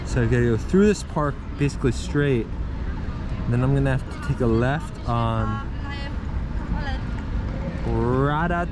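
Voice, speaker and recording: A man's voice: medium pitch, a little slow, long pauses. A man chatting casually as a travel vlogger while riding a bike through a city park, opinionated and joking as he goes. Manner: chatty, opinionated, jokey asides. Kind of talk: casual travel vlog narration. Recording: outdoor street and traffic noise.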